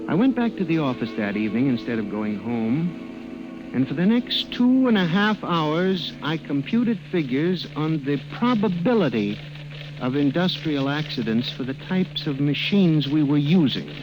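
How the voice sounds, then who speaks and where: Earnest, put-upon office statistician, vintage radio drama; slightly high, animated voice, music underneath. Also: male voice, poor audio. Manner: hesitant, mannered, dramatic. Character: timid, earnest, humiliated male office statistician narrating his ordeal. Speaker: narrator and lead character. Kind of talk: old-time radio drama acting.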